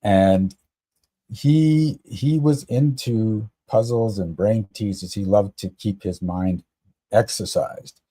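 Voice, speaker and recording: A man's voice: lowish voice, takes it slowly, warm tone. A man from Canada telling personal stories casually as a podcast guest. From Canada, Toronto area. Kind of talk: casual chat, personal storytelling.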